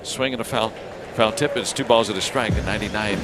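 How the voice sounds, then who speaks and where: An American man doing live radio play-by-play of a baseball game in short, measured phrases with asides between pitches. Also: loud music behind the voice. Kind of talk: live sports commentary with ad-libbed asides.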